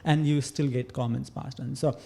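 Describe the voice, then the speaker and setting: A man from Nepal in his thirties with a Nepali accent, a guest talking through his experiences in a casual interview, pausing often and filling gaps with "uh" and "you know". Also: mid-range voice, fairly even intonation, gravelly, dark tone. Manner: thoughtful, hesitant, many fillers like "uh" and "you know". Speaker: podcast guest.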